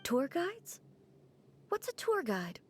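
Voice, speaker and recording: A woman voicing a composed, aloof female ruler in a video game cutscene, speaking in a calm, measured, formal way. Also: sits high, noisy background, full character voice.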